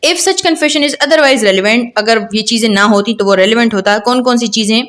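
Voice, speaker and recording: A woman's voice: on the high side, moderate pace, a bit bright. A woman lecturing on law in an explanatory, didactic way, repeating and rephrasing points with rhetorical questions. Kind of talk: explanatory law lecture mixing Hindi-Urdu and English.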